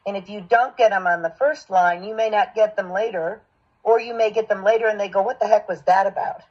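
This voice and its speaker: A woman voice acting coach with a husky voice, giving encouraging, explanatory direction and feedback. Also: medium pitch, a little fast. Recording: dull, lo-fi sound.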